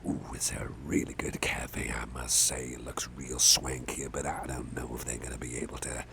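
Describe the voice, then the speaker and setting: A man playing a big, gruff but caring boss in an ASMR roleplay, murmuring gently with a gravelly, often breathy voice and soft hesitations. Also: very deep voice, little pitch movement, moderate pace, background noise. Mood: caring, attentive. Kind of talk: ASMR personal-attention roleplay.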